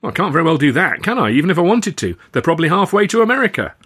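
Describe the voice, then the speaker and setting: Audiobook role: matter-of-fact tour manager, voiced by a man, brisk, apologetic, raised pitch. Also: big pitch swings, fairly bright tone, slightly rough, heavily acted. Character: matter-of-fact male theatre company manager.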